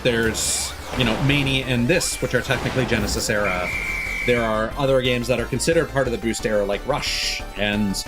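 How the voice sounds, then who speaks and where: A man streaming games, chatting casually and giving opinions in halting phrases with emphatic bursts. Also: medium pitch. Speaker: game streamer. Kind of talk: casual opinionated rambling on a livestream. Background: music behind the voice.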